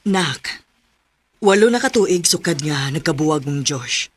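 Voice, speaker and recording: A woman playing a gentle, concerned middle-aged mother in a radio drama, husky, with a Cebuano Filipino accent. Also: deep voice, expressive, unhurried, loud music behind the voice.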